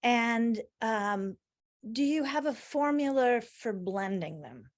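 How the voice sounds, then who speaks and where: A woman hosting a book club, asking interview questions in a halting way with fillers. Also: fairly high pitch, animated.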